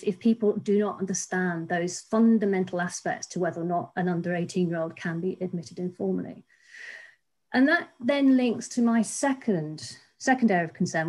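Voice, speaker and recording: A middle-pitched woman in her fifties speaks earnestly and deliberately, circling back on her words as she sets out legal concerns. She talks over a laptop webcam on a video call from a small home office. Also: a little mellow, low-quality recording. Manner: measured, earnest, frequent restarts and fillers. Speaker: legal academic and author. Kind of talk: panel discussion remarks.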